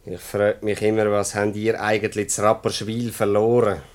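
A man's voice: lowish voice, completely flat delivery, moderate pace, lightly acted. A man with a Swiss German accent playing a jealous, suspicious husband in a radio comedy, grumbling in hesitant earnest.

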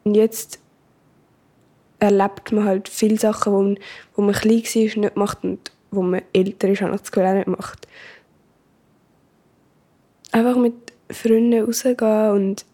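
A woman's voice: medium pitch, little pitch movement, a little slow, long pauses, warm tone. A teenage girl with a Swiss German accent chats casually and tentatively in an interview about herself. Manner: tentative, unsure.

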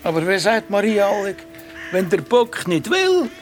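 A man's voice: sits high, sing-song, normal speed. A man playing a kindly, patient old wandering pilgrim scholar in a children's radio play, with a Swiss German accent and an encouraging, musing manner. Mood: tender, encouraging. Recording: music behind the voice.

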